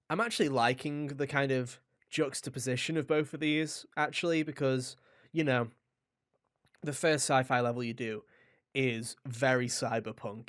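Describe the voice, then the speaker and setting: A man co-hosting a gaming podcast, chatting casually and enthusiastically in an animated, rambling way. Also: mid-range voice, clean tone, dry recording, studio quality. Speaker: podcast co-host reviewing a video game.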